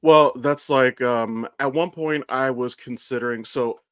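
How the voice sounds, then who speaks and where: A man's voice: mid-range voice, a little slow, fairly bright tone, no echo, clean studio sound. An American man in his thirties from Ohio hosting a casual podcast, talking candidly with rambling stories and plenty of profanity. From Ohio, United States. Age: 30s. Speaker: podcast host. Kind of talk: casual conversational podcast chat.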